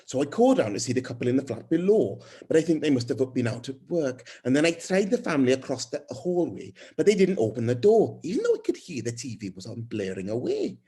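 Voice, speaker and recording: A man's voice: mid-range voice, wildly swooping pitch, brisk, few pauses, dark tone, breathy edge, heavily acted. A man voicing a friendly, chatty young mother in an audiobook, rambling and hesitant as a new neighbour. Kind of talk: audiobook character dialogue.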